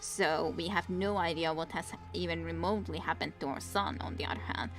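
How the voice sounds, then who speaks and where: Finnish woman streamer, low voice. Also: steady tone, a little fast, soft background music. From Finland. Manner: chatty, answers chat, laughs often. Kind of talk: casual gameplay commentary.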